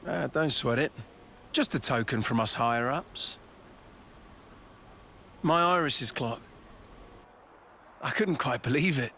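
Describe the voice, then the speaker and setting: A man's voice: medium pitch, slow pace, long pauses, sharp tone, a little hiss or hum. A man voicing a blunt, easygoing colony soldier in a video game, casual and plain-spoken. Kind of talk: video game cutscene dialogue. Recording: game cutscene with music score.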